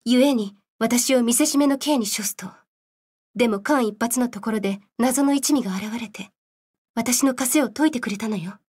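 A solemn scientist narrating a game cutscene. Her voice is fairly high and husky. She recounts a grim past in serious, measured storytelling. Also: darkish tone. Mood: grave, bitter.

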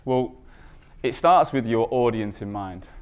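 Guy doing a conference talk, informal but emphatic, medium pitched voice, asks the crowd questions. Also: expressive, a little slow, clean tone. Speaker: conference speaker, recruitment marketing expert. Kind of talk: conference presentation.

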